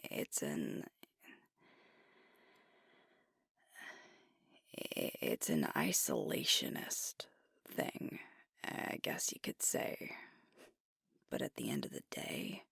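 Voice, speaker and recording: ASMR roleplay: actress as female alpha werewolf leader, troubled and conscientious, very low hushed voice, slow and deliberate. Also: no echo, studio quality, heavily acted. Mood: weary explaining. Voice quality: breathy, whispery. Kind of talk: ASMR roleplay monologue, whispered and intimate. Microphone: close microphone.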